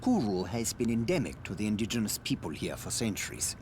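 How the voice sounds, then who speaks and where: Video game scientist: low voice, formal and precise, politely condescending, slippery, ambient noise underneath. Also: male voice, big pitch swings, brisk. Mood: fascinated. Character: evasive self-justifying male scientist, polite and condescending. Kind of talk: dramatic video game cutscene acting.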